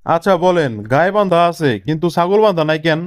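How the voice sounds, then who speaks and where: A man's voice: a little high, fast, dry recording, clean studio sound, lightly acted. A man voicing a cartoon boss who puts terse trick questions to an applicant in colloquial Dhaka-accented Bengali. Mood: testing. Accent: Bangladeshi Bengali, colloquial Dhaka. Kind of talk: comic cartoon dialogue.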